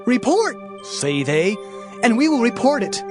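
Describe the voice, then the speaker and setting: A man's voice: extremely high pitch, big pitch swings, a little slow, music in the background, full character voice. A man playing an anguished, fiery Hebrew prophet, declaiming archaic scripture solemnly in an audio drama. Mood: anguished, lamenting.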